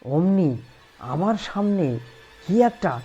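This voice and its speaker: A man's voice: sits high, very animated, takes it slowly, warm tone, breathy edge, muffled recording, soft background music. A man telling a scripted first-person horror story as its narrator.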